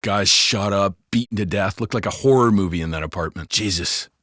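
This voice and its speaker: A man's voice: fairly low pitch, fairly quick, fairly bright tone, no room sound, clean studio sound. A man voicing a gossiping, foul-mouthed American cop in a video game, talking in a colloquial, sardonic way. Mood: grave. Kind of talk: casual scripted banter for a video game.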